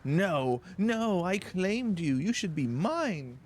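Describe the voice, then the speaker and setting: Man putting on a slow, imperious vengeful goddess. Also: high voice, sing-song, a little mellow, a little hiss or hum, pitched above the performer's own voice, full character voice. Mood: vindictive. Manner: theatrical, menacing declamation. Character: vengeful female wind goddess, grandiose and cruel. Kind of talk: villain monologue read aloud from a fantasy game.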